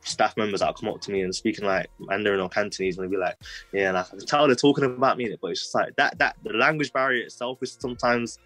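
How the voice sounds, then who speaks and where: Low-voiced young man, rambling. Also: fairly even intonation, fast talker, a bit bright, dry recording, soft background music, rough recording. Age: 20s. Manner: rambling, heavy use of "like" fillers, street slang. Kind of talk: casual personal storytelling.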